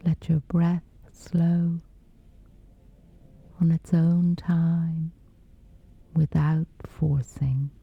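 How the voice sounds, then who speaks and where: A woman gently guiding a scripted sleep meditation in a soothing, breathy whisper, unhurried throughout. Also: low voice, flat delivery, dull audio. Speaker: meditation guide.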